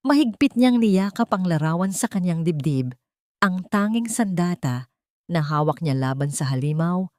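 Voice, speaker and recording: Lowish-voiced woman narrating a drama. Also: darkish tone, no room sound, studio quality. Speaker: audiobook narrator. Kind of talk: dramatic scripted story narration.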